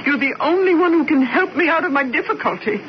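A fluttery rich wife, stammering and breathless. The sound is dull and lo-fi, like an old radio broadcast with a faint hiss. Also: female voice, high-pitched, animated, fast. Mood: pleading. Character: anxious, gluttonous wealthy wife, adult woman. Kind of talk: 1970s radio drama acting.

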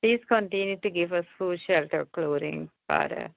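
A woman's voice: lowish voice, moderate pace, vocal fry, no room sound. A woman praying aloud in a fervent, unscripted style, pleading and repeating her appeals, on dull lo-fi audio. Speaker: prayer group member leading prayer. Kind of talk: fervent extemporaneous prayer.

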